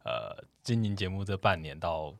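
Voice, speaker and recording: A man's voice: deep voice, very animated, moderate pace, gravelly, no room sound. A young man co-hosting a casual podcast, playful and teasing, often repeating his phrases. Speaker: podcast co-host. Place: podcast recording studio. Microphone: close studio microphone.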